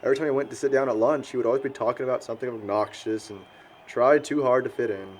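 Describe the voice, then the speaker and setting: A man's voice: mid-range voice, steady tone, fast, a little hiss or hum, close to an everyday voice. Man acting a crude, mocking young American guy. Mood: scornful. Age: young adult. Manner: blunt, slangy, teasing. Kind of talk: conversational audio drama acting.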